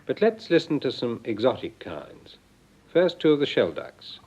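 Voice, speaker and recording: A man giving measured, explanatory scripted narration for an educational record, heard on a vintage recording. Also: mid-range voice, sing-song, noisy background. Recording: dull, band-limited vintage record.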